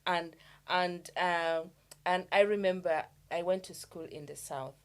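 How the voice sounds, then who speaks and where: Soft-spoken woman from Uganda. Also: fairly low pitch, steady tone. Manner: reflective, halting with many fillers. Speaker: podcast co-host. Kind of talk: casual chat.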